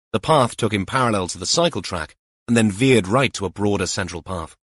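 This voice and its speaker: Male narrator for mystery audiobook: low pitch, slightly husky, dry close recording. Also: a bit bright, no room sound. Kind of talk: scripted mystery fiction narration.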